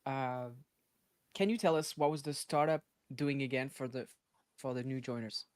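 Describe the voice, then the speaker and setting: A man's voice: mid-range voice, fairly even intonation, moderate pace, darkish tone, clean tone, no echo, studio quality. A man from North Africa and France hosting a casual live interview, enthusiastic and repeating phrases for emphasis. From born in North Africa, grew up in France. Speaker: live show host, startup advisor. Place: live online stream.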